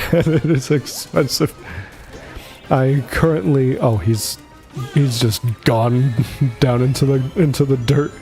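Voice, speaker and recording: Give a man streaming a video game at an unhurried pace, with game music behind him. He sounds casual and jokey and chuckles often, in a medium-pitched voice. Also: darkish tone. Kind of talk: casual game livestream commentary.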